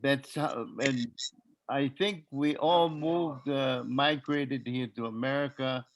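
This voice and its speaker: An older man, sharing family memories on a video call in a halting way, with many pauses and filler sounds as he searches for names. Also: mid-range voice, fairly even intonation, a little hiss or hum, poor audio.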